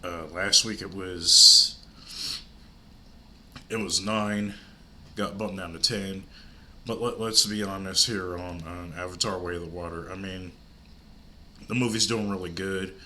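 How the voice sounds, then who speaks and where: A man's voice: deep voice, fairly even intonation, measured pace, pauses often, pushed and bright, a little hiss or hum. An older man with a stuffy, congested nose, hosting his movie channel from home in a rambling, chatty way. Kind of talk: casual vlog chat.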